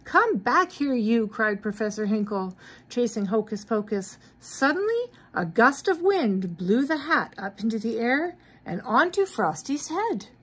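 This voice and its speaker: An older woman reads a picture book to small children. Her voice is a little husky with a cold and rises and falls animatedly. She breaks off for gentle questions to her listeners. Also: fairly high pitch, measured pace. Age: middle-aged or older. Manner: expressive, questioning asides to young listeners. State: mild cold. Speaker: grandmother reading to grandchildren. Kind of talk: children's storybook read-aloud with asides.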